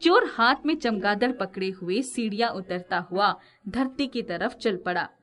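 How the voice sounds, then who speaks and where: A woman's voice: mid-range voice, lively intonation, a little slow, a bit bright. A woman narrating a scripted moral tale as the storyteller.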